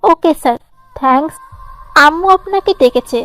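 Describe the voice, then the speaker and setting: An actress plays a young female student in a romantic audio story. Her high voice is deferential and wheedling. It is recorded dry with light music underneath. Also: normal speed, sharp tone, no room sound. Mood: coaxing. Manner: pleading, deferential. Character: polite, coaxing schoolgirl student.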